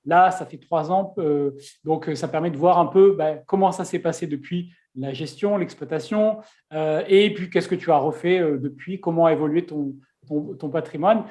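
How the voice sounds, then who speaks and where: High-voiced chatty man hosting. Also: moderate pace, dark tone. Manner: chatty, many fillers and restarts. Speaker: host. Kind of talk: casual interview.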